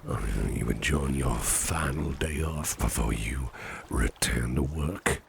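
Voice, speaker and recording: A man playing a doting, reassuring businessman boss in an ASMR roleplay, speaking in a breathy, half-whispered murmur with soothing, genteel phrasing. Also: a bass voice, slow pace, a little hiss or hum, heavily acted. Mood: friendly, pleased. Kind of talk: ASMR personal-attention roleplay.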